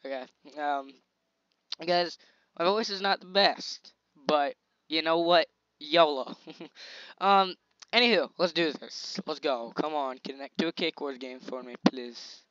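A young man talks over his gameplay in a loose, slangy way, hyping himself up as the action goes. His voice is a little scratchy and sits slightly high for a man. The recording is dry and a bit dull, like a basic home mic. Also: a little slow, clear, resonant tone, no echo. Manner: excitable, chatty, slangy hype while playing. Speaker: gaming channel host. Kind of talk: casual gameplay commentary.

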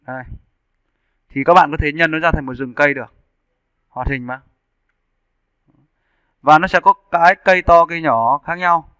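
A man narrating a casual software tutorial as an instructor, explaining step by step and checking in with his listeners. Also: fairly high pitch, normal speed, pauses often, clean tone, dry recording. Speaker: 3D animation instructor. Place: indoors, screen-recording voice-over.

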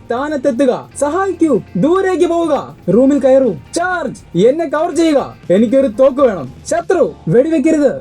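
A man voicing a frantic, melodramatic video game soldier barking battle commands in a high, husky, straining voice like a protest chant. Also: lively intonation, moderate pace, few pauses, dark tone, soft background music. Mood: urgent, shouting. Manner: overdramatic, like a rallying protest chant.

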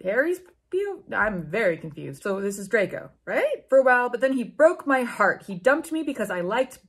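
Animated, slightly husky young woman, theatrical. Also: a little high, brisk. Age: 20s. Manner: incredulous, theatrical, sweary. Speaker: book channel host. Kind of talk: dramatic comedic read-aloud with reactions. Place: small room at home with bookshelves, indoors.

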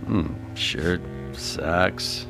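A man's voice: deep voice, extremely slow, vocal fry, loud music behind the voice, exaggerated voice. A male actor in a radio drama playing a cocky, menacing gangster with a sardonic, tough-guy way of talking. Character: homeless man possessed by a menacing, cocky dead gangster.

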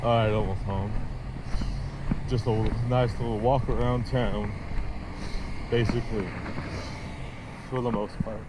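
Guy talking slow on a bike ride, loses his words, loud street noise behind. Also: on the low side, fairly even intonation. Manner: rambling, searches for words, slow and halting. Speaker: cycling vlogger. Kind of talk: casual narration while riding. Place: outdoors on city streets, riding a bike. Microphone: action camera mounted on the bike.

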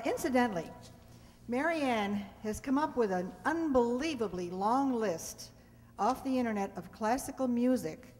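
A woman in her seventies giving an informal prepared talk to an audience from a stage. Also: mid-range voice. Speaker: speaker between radio plays. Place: on stage in a community hall. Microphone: stand microphone. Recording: reverberant community hall.

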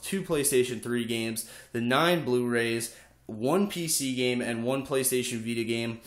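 A man's voice: medium pitch, a bit bright. Young man with a stuffy nose. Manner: chatty, many "uh" fillers. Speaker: video game collector vlogger. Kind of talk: casual show-and-tell. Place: echoey room at home, off camera.

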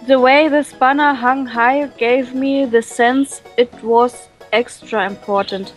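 A woman reading a visual novel aloud and translating it, with many fillers and pauses. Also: sits high, poor audio. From Germany. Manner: hesitant, many fillers, laughs often.

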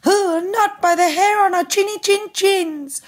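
A woman voicing a small, excitable, defiant little pig from a storybook, in squeaky, sing-song exclamations. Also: a little slow. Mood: frightened defiance. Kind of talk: children's storytelling.

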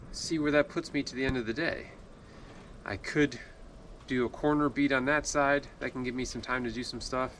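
A man's voice: medium pitch, a bit bright, slight background noise. A man in his forties talking casually to camera as a builder vlogging his work plans, in a colloquial, folksy, self-deprecating way. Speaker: home improvement vlogger, builder. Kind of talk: casual vlog talk about work plans. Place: job site, outdoors and in unfinished house.

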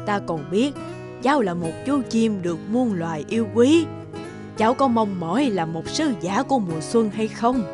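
A woman voicing a kindly old woman healer in a fairy-tale cartoon, giving gentle instructions. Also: medium pitch, lively intonation, normal speed, over music, lightly acted. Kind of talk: animated fairy-tale dubbing.

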